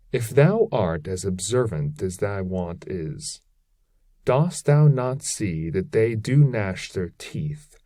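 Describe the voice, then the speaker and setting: Guy doing scared pilgrim begging his master, anxious, pitch all over, mellow, epic poem. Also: no room sound, clean studio sound. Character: frightened male pilgrim pleading with his master.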